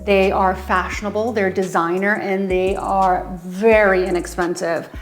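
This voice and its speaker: A woman's voice: mid-range voice, fairly even intonation, unhurried, soft background music. A woman giving a casual, enthusiastic product demo in her home, gushing about favorite items with frequent ums. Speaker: home design vlogger. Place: her home, closet and kitchen.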